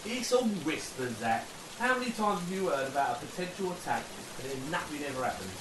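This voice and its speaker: A man's voice: fairly high pitch, very animated, fairly quick. Man acting a weary soldier, roomy and distant. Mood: wry, weary. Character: weary, sceptical British soldier in the trenches, devoted sweetheart. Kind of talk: period radio drama acting. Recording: roomy, distant, noisy with rain-like hiss.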